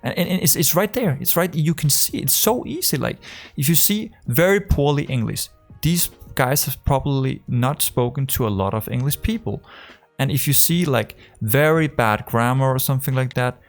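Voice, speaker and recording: A man with a strong Danish accent talks loosely to the camera as a trading coach. His voice is rough and gravelly, and his sentences wander, full of fillers and restarts. Faint music plays underneath. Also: medium pitch, normal speed. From Denmark. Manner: rambling, full of fillers and restarts, acts out conversations. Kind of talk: casual talk to camera.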